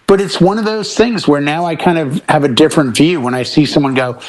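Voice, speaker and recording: An elderly man in casual panel chat, telling anecdotes in an animated way and acting out bits of conversation. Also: fairly high pitch, fairly quick, low-quality recording. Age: 80s. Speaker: DJ and panel member.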